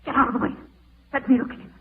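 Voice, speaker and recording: A woman's voice: rapid-fire, natural voice. An actress playing a suspicious, frightened but insistent woman, urgent and accusing, in old radio horror style. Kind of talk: 1940s radio horror acting. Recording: tinny band-limited old radio broadcast.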